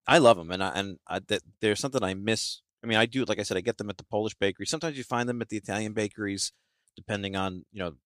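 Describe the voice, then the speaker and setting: A low-voiced man hosting a food podcast, talking quickly and eagerly as he asks questions. His voice is close and dry, with no room sound. He keeps the chat casual and moving. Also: a bit bright, no room sound. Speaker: podcast host.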